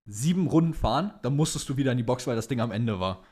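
A young man talks as a podcast co-host in a loose, colloquial way. His voice sits at a middling pitch and he sounds like an enthusiastic fan, full of slang. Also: lively intonation, fast talker, a little mellow. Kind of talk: casual fan chat about motorsport.

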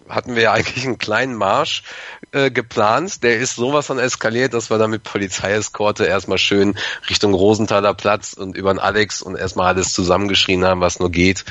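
A man with a hoarse voice, chatting casually as a podcast guest and rambling with many fillers. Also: on the low side, a bit bright, dry recording, muffled recording. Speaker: podcast guest, fan club member. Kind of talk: casual chat.

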